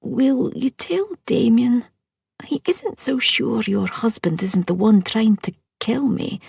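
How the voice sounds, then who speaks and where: A woman doing a high, anxious young bride. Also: very animated, slow pace, creaky, a little mellow, husky, no room sound, far from the mic, exaggerated voice. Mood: earnest. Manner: earnest and emotional.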